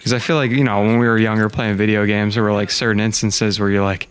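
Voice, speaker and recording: A low-voiced man with a clear New Jersey accent banters over gameplay. He talks in an emphatic, slangy way. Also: fast talker. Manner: animated, emphatic, casual slang. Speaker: co-host. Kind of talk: casual gameplay chat.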